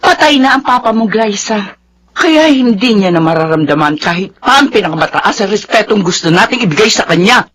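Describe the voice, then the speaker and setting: A woman's voice: medium pitch, animated, brisk, fairly bright tone, husky. Middle-aged radio drama mother: headstrong, imperious, very dynamic delivery. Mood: exasperated. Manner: imperious, argumentative. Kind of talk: Filipino radio melodrama acting.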